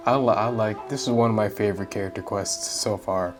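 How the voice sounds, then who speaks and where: A man's voice: lowish voice, steady tone, fairly quick, music in the background. Casual, slangy male game streamer. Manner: informal, slangy, wry. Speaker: gaming streamer reacting to a story quest. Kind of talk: casual gameplay commentary.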